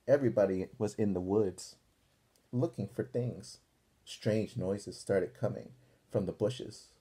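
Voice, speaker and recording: A man reading a picture book aloud to young children as a teacher, deliberately pausing to ask his listeners questions. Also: on the low side, dark tone, slightly rough.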